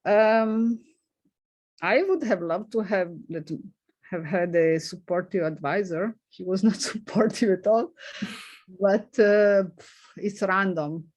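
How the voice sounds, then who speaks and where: A middle-aged woman physicist with a non-native English accent, answering questions in a halting way full of fillers and light laughs. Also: mid-range voice, darkish tone. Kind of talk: informal seminar Q&A.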